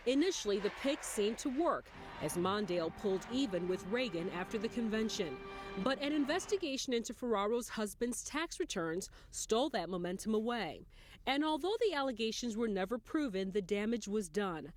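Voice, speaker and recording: A woman's voice: mid-range voice, lively intonation, normal speed, slight background noise. An American woman working as a TV news anchor, reading scripted news narration. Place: TV news studio.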